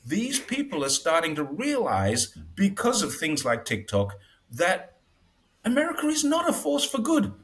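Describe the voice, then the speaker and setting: Casting note: man in casual interview, lively swooping pitch, wry, acts out quotes. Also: unhurried. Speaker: interview guest. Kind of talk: casual conversational commentary.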